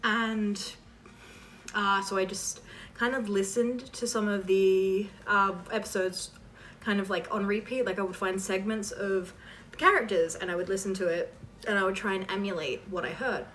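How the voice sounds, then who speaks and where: A woman's voice: medium pitch, steady tone, moderate pace, fairly bright tone. A woman chatting casually as she answers questions, rambling and self-deprecating with trailing asides. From Canada. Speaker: host of a fan voice-acting channel. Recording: distant mic with faint background noise.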